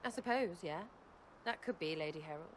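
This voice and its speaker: A woman's voice: sits low, expressive, brisk, a little hiss or hum. A woman playing an irreverent young rogue in a video game, with an English accent and a choppy, sarcastic, slangy way of talking. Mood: flippant, grudging. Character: brash, irreverent young woman rogue, rattled but flippant. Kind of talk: video game cutscene acting.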